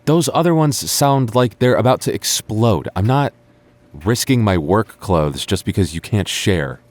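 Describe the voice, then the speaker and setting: A man's voice: fairly low pitch, animated, measured pace. A young man acting as a sarcastic, teasing and stubborn childhood friend in a naturalistic boyfriend audio roleplay. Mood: irritated bickering. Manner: teasing, sarcastic, stammers when flustered. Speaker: voice actor.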